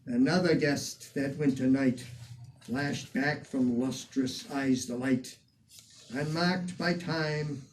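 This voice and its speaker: Slow, declamatory man reciting verse, distant mic. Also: medium pitch, warm tone. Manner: measured, declamatory, deliberate phrasing with emphatic swells. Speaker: reader of poetry, museum curator. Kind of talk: dramatic poetry recitation in the person of a 19th-century poet. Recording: distant microphone with some background noise.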